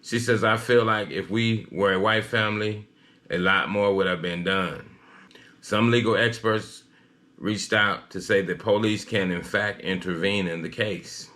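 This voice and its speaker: A man hosting a news commentary, reading and discussing a story with a somewhat halting delivery. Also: lowish voice, steady tone, bright, forceful tone.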